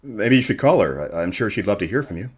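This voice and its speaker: A man playing a modest, earnest, smitten radio host in a radio comedy-drama, with a hesitant, understated, halting delivery. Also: fairly low pitch, very animated, breathy edge.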